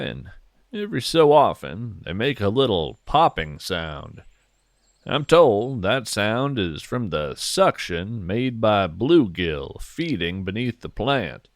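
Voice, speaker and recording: A man playing an earnest, wonder-struck naturalist, hosting a scripted fiction nature podcast in a gentle, folksy, lyrical manner. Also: fairly low pitch, no echo, studio quality, exaggerated voice. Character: earnest, wonder-struck male naturalist who seeks cryptids. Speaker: podcast host.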